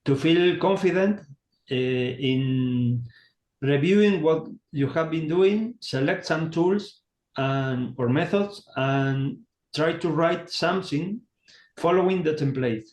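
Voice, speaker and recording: Halting man in a roomy echo. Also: a little high, darkish tone. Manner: halting, searching for words in English. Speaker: community contributor. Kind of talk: online meeting talk.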